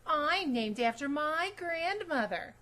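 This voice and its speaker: A woman's voice: high-pitched, lively intonation, sharp tone, voice pushed up, exaggerated voice. A woman voicing a snooty know-it-all little girl in a children's storybook, smugly stretching out her words. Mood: smug, taunting. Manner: emphatic, smug, drawn-out words. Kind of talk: children's storybook read-aloud.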